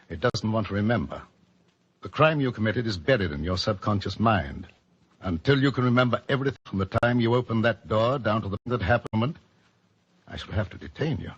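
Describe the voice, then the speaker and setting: Stern, low, husky psychiatrist. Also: male voice, animated, a little fast. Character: stern, cold male psychiatrist professor in authority. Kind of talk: 1940s radio drama acting. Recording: dull old radio recording.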